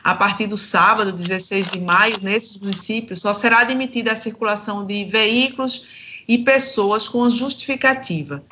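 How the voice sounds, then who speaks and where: A woman's voice: mid-range voice, normal speed, pushed and bright. A Brazilian woman presenting and interviewing as a radio host, in a scripted presenting style.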